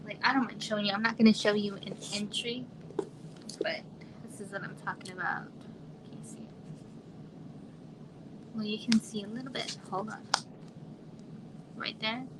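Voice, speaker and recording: A woman from Arizona in her thirties, chatting casually on a home livestream in a quiet, soft voice that trails off and sometimes drops to a whisper. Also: mid-range voice, steady tone, moderate pace, pauses often. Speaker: livestream host. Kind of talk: casual live chat with viewers. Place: home room. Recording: noisy home livestream audio.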